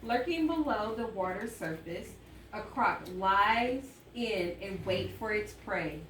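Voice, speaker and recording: The recording is echoey and picked up from a distance, with faint noise. A woman with a mid-pitched voice speaks as an elementary teacher doing an interactive read-aloud. She gives instructions and prompts the children with questions. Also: expressive, takes it slowly, a bit bright. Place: indoor classroom.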